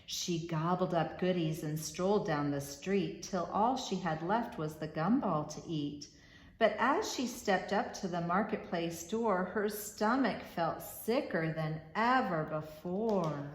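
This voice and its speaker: A woman reading a rhyming children's storybook aloud as storyteller, expressive and measured in a rhythmic way. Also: lowish voice, reverberant, low-quality recording.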